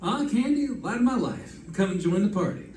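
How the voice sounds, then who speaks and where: Man acting a florid gentleman sidekick, swooping higher voice. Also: lively intonation, fairly quick. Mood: jovial. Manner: pompous, flowery, theatrical. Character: genteel, florid, tippling gentleman sidekick with grand airs. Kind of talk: 1940s radio comedy-drama acting. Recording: echoey room, distant mic, faint noise.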